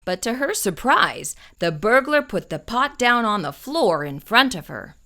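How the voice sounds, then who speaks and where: A woman reading a children's picture book aloud, slow and expressive. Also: a little high. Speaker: children's storyteller.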